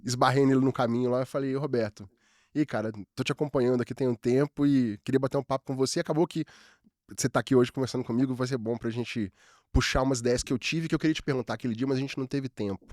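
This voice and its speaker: Um homem apresentando um podcast, entrevistando de forma descontraída, com muitas gírias e hesitações. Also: male voice, mid-range voice, fairly even intonation, darkish tone, no echo, clean studio sound. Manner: fast, friendly, lots of fillers and slang. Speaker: podcast host. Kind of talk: casual podcast interview.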